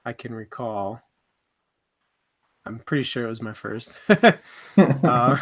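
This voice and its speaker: A man's voice: mid-range voice, fairly bright tone, clear, resonant tone. Dude on a book club stream, normal mid voice, casual and kinda hesitant giving his take. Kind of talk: casual chat about books on a livestream.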